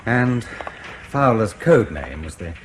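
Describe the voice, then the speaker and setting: Suave, low-voiced interrogator. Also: male voice, very animated, slow, background noise. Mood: brisk, satisfied. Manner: clipped, polite, understated. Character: suave male British intelligence interrogator, mild but needling. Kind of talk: radio drama acting.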